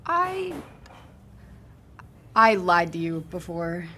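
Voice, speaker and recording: A woman's voice: lowish voice, big pitch swings, extremely slow, bright, forceful tone, a little hiss or hum, exaggerated voice. An actress in an audio drama playing a shy, polite teenage girl hitchhiker, hesitant and self-effacing. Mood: nervous. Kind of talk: audio fiction drama acting.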